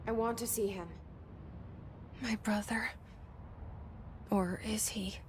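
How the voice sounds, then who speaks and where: A woman's voice: a little high, steady tone, slow pace, noisy background. A woman playing a determined, wry younger woman in a video game, guarded and intense in short clipped phrases. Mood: intent, hopeful. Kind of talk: video game acting, dialogue and inner monologue.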